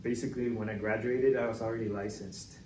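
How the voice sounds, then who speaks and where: Young man, relaxed and distant in echoey room. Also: lowish voice, quick pace. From Mexico City. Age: young adult. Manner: relaxed, filler-heavy storytelling with many "like"s. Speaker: real estate agent, podcast guest. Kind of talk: casual interview chat. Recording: echoey, distant mic with clear background noise.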